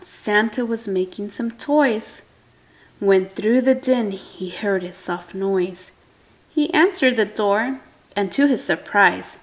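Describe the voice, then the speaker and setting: A woman reading a picture book aloud to a young child with expressive, deliberate, dramatic phrasing. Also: medium pitch, poor audio.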